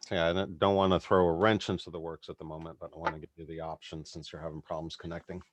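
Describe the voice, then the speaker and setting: A man's voice: deep voice, flat delivery, fast talker. A man running a tabletop roleplaying game as game master on an online call, talking casually. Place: indoors on an online group call. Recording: online call with faint background noise.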